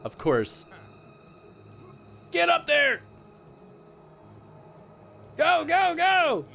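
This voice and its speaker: A man streaming a horror game live, chatting casually and yelling excitedly at the action. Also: extremely high pitch, very slow, creaky, faint music behind. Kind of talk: live gameplay commentary.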